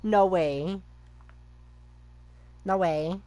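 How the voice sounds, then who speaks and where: Lowish-voiced woman reacting to a game. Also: drawn-out words, mellow. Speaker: gaming streamer. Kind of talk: casual reaction commentary. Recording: game music behind the voice.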